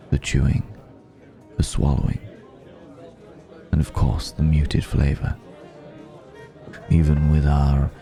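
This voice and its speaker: An extremely low, mellow male vampire played by a man murmurs in an intimate ASMR roleplay, with soft music behind him. He is centuries old, urbane and quietly predatory, with a gravelly creak. His delivery is measured and reflective, lingering in the pauses. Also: full character voice.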